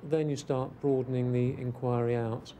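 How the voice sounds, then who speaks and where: A man's voice: medium pitch, level tone, normal speed, dark tone, a little hiss or hum, rough recording. A man speaking as a police detective, explaining procedure in a news interview.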